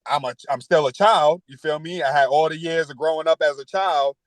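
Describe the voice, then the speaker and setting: A man's voice: fairly high pitch, fairly even intonation, a little fast, dry recording, rough recording. Man in his thirties, candid street talk. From New York. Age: 30s. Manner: street slang, frequent "you know" and "word". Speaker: podcast guest.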